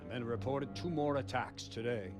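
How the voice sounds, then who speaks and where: A man's voice: medium pitch, moderate pace, music in the background, natural voice. A man playing a stern, dutiful captain of the guard in a medieval video game, reporting in a grave, soldierly manner. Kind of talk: dramatic video game acting.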